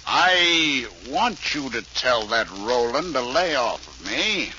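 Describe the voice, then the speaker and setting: A hissy old radio recording of a blunt, taunting American tough guy in business. His slangy lines swing widely in pitch, in the style of a 1940s crime drama. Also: sing-song, takes it slowly, highs cut off. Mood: defiant, complaining. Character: rugged, needling tough-guy businessman with a grudge.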